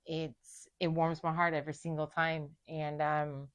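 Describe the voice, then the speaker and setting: A woman's voice: sits low, flat delivery. A woman in recovery, a mother of a young child, talking candidly about her past and often stopping to search for words. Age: adult with a young son. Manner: halting, searching for words, many restarts. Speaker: daughter in recovery. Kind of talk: candid personal conversation.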